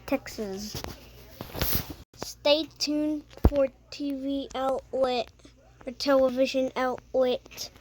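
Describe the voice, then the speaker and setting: An amateur announcer with a high voice play-acting a TV weather emergency broadcast, speaking haltingly with stumbles and self-corrections. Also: steady tone, background noise.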